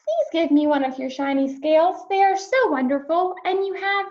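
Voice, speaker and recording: A woman voicing an eager little male blue fish in a storybook read-aloud, begging in a high, breathless way. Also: lively intonation, normal speed, a little mellow, muffled recording. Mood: pleading.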